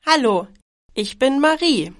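A woman from Germany reads scripted exam sentences at a deliberately slow pace. Her pitch sits a little high and moves a lot. The recording is close and dry. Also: expressive, no echo. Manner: slow, clearly articulated reading.

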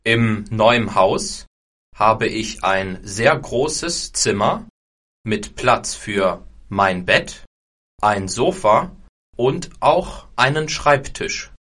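A man's voice: lowish voice, expressive, very slow, fairly bright tone. A man from Germany reading short scripted lines carefully for a listening exam. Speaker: exam recording speaker.

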